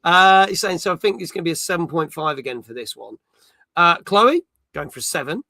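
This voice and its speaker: A British man in his forties hosts a casual gaming stream. His voice sits on the high side and is very bright and forward, captured close and dry. He chats and reads out live comments as he goes. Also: expressive, a little fast, no echo. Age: 40s.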